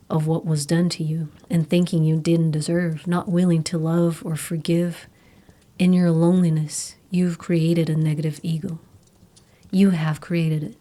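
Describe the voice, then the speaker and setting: A woman narrating a scripted guided meditation in a soothing, hushed, soft and breathy manner. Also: low voice. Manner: soothing, slow, hushed delivery. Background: meditation music.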